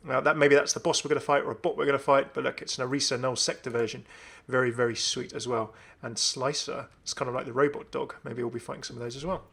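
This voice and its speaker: Male gaming video host, enthusiastic and casual, medium pitch with noticeable vocal fry. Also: fairly even intonation, quick pace, hardly any pauses, a bit bright. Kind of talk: casual commentary on a game update.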